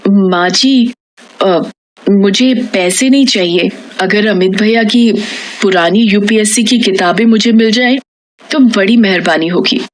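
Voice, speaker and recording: A woman's voice: mid-range voice, unhurried, lightly acted. Woman voicing an earnest, determined young housemaid. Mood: polite, hopeful. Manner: earnest, resolute. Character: poor, determined young housemaid with a collector's dream.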